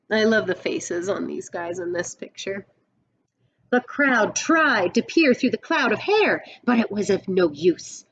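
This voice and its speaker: A middle-aged woman reading a picture book aloud expressively, with dramatic pauses and explanatory asides. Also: mid-range voice, slight background noise, poor audio.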